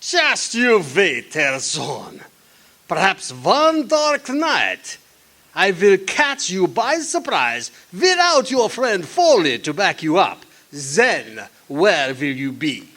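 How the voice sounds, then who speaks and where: A sly little German villain from a radio detective spoof, high-pitched and swooping wildly. He taunts and threatens in a slow, theatrical German accent, turning his sentences back to front. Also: huge pitch swings, pushed and bright, full character voice. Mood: menacing. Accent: put-on German. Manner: inverted syntax, taunting, theatrical. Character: natty little German criminal henchman, sly, menacing, comically indignant. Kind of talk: comic old-time radio detective drama acting.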